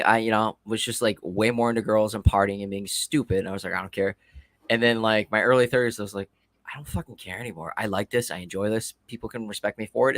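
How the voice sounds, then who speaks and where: A man in his late thirties chatting casually on a livestream, telling rapid, profane stories. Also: mid-range voice, creaky, fairly bright tone, dry recording. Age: 30s. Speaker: livestream guest. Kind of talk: casual chat.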